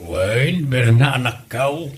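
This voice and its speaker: A man's voice: mid-range voice, lively intonation, slow pace. A man playing an older Ilocano father, earnest and easily hurt, in a comedy drama. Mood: fatherly. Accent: Filipino, Ilocano. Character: older father, wounded, earnest suitor to his in-law.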